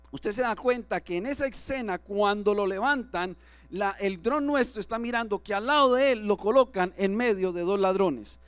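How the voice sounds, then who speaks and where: A man's voice: high voice, dry recording. A man preaching as pastor to his congregation, often asking them for agreement. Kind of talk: sermon. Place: church.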